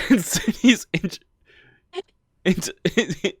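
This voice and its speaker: A man's voice: high-pitched, drawn-out words, breathy edge, no room sound. A man hosting a casual true crime podcast, reading his notes with frequent stumbles and giggles. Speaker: podcast host.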